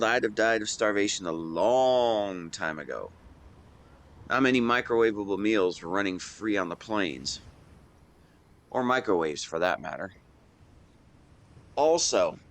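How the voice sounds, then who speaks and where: Man playing devoted young male best friend with a crush, lively swooping intonation, teasing, tender, romantic audio roleplay. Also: on the low side. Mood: playful teasing. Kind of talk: scripted romantic audio roleplay, speaking to the listener.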